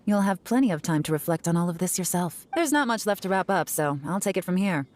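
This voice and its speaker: Woman acting low-voiced, stern female investigator. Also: animated, a little hiss or hum, close to an everyday voice. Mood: relaxed, wry. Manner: measured, firm, wry. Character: stern, cool, composed female investigator, dryly confident. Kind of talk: video game character acting.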